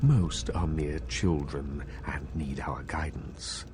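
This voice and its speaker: An actor playing a courtly, guarded elder vampire in a video game, speaking in a formal, measured, condescending manner. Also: male voice, a bass voice, over music. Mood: patronizing. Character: courtly, guarded elder male vampire clan leader, patronizing and calculating. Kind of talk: video game dialogue acting. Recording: faint background ambient noise.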